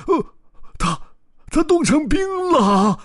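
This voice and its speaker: Squealing, excitable young elephants. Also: male voice, extremely high pitch, slow, husky, no echo, studio quality, voice pushed up, exaggerated voice. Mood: panicked. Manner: squealing, shouting.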